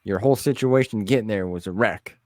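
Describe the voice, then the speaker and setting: A man's voice: lowish voice, fairly quick, a little mellow, no echo, clean studio sound. A man hosting a casual interview, chatting in an informal, supportive and reassuring manner. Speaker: interviewer, podcast host. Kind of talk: casual chat.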